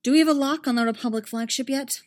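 A woman voicing a commanding female fleet admiral, urgent and sharp with a raised voice. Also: medium pitch, a little fast, natural voice.

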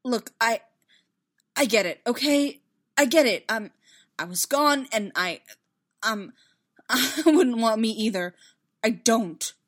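A woman voicing an anguished, self-loathing young knight in a space fantasy story, halting and desperate. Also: mid-range voice, expressive, close to an everyday voice. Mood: bitter, upset.

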